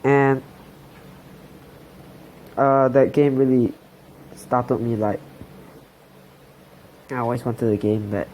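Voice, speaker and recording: A man's voice: medium pitch, fairly even intonation, takes it slowly, long pauses, darkish tone, slight background noise, poor audio. A young man in his late teens from Singapore, telling his life story in a hesitant voice-over full of fillers and restarts. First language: Chinese. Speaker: channel host.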